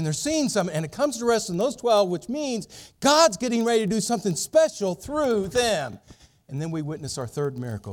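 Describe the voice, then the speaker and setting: A middle-aged male pastor preaching an expository sermon, telling the story dramatically with big swings in loudness. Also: a little high.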